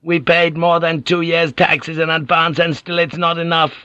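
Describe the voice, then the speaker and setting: A man doing a whining village fisherman, worried, high-pitched, dull lo-fi sound. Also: flat delivery, normal speed, pushed and bright, clean tone, no room sound. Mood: worried pleading. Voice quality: whiny. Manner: pleading, worried.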